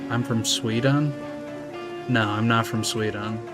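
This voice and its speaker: A young American man, just out of high school, chatting casually and rambling with viewers while he plays. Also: steady tone, unhurried. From United States. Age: young adult. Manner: laid-back, rambling, slangy. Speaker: streamer. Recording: music playing behind.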